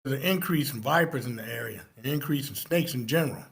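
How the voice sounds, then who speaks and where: A man playing an affectionate, protective father on the phone at a screenplay table read, in a halting read-aloud delivery. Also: medium pitch, vocal fry, husky. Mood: concerned.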